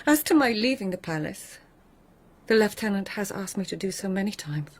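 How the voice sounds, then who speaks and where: An actress playing a poised, guarded court lady of old Japan in a radio drama, breathy and husky, with measured, understated delivery. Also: medium pitch, lively intonation, close to an everyday voice. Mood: cool, guarded. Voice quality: breathy, husky, near whisper. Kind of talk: radio drama acting, intimate narration and dialogue.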